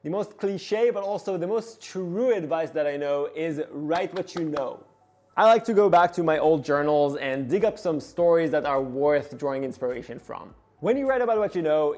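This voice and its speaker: Man, animation director, scripted devlog narration, earnest, expressive, slows for emphasis, faint music behind. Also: on the high side.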